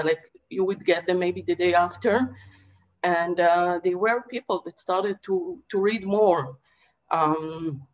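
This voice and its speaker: A middle-aged woman from Israel speaking as a panelist poet on a video call from her home study, measured and thoughtful with many pauses and fillers. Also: lowish voice. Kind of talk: reflective panel talk. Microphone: video call webcam. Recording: dull lo-fi video call audio.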